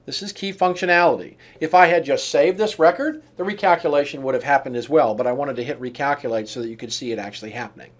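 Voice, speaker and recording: A man narrating an on-screen software demonstration, explaining each step in turn. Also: mid-range voice, fast, few pauses. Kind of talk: walkthrough tutorial narration of on-screen steps.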